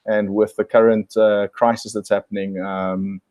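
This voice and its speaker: A man's voice: fairly low pitch, little pitch movement, measured pace, darkish tone, dry recording. A man from South Africa, a guest on a video call, answering thoughtfully with many fillers and restarts.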